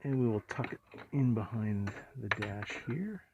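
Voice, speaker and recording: A man narrating a hands-on install in a casual, low-key way, muttering to himself as he works. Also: animated, unhurried. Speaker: vlogger doing truck accessory installs. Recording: muffled lo-fi audio, faint noise, clicks.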